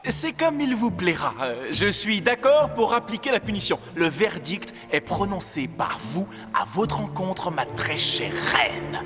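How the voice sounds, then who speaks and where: An earnest young cartoon monarch with a high male voice. He is courtly and formal, heard in a long reverb with music behind. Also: very animated, measured pace, hardly any pauses. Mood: solemn, resolute. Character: young royal of a fairy tale, earnest and courtly. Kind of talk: dubbed cartoon fairy-tale acting.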